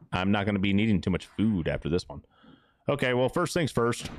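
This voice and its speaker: A man with a deep, smooth voice giving casual live commentary as he plays a game, chatting away to his characters. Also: dry recording. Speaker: game streamer, host. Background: music.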